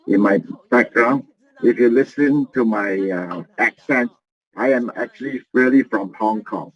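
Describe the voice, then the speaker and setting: A man giving a motivational business talk, earnest and halting, often repeating and restarting his phrases. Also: mid-range voice. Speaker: business presenter. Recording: muffled lo-fi recording.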